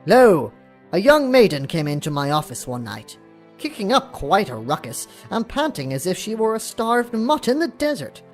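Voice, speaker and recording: A woman's voice: medium pitch, big pitch swings, normal speed, a little mellow, faint music behind, natural voice. A woman playing a solemn, self-important ancient scribe, telling a chronicle in archaic, theatrical language for an audio drama. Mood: solemn, grand.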